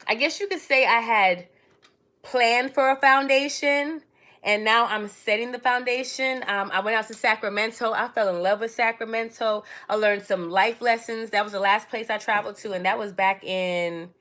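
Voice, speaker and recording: A woman's voice: on the high side, normal speed, a bit bright. A woman, born in Trinidad and raised in New York City, chatting casually to viewers on her own livestream and calling them darling and baby. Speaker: livestream host.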